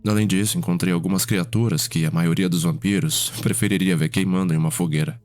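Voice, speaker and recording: A man playing a composed, fond vampire healer and elder brother in an ASMR roleplay, speaking in a measured, formal way. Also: deep voice, steady tone, a little mellow, lightly acted. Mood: composed, weary.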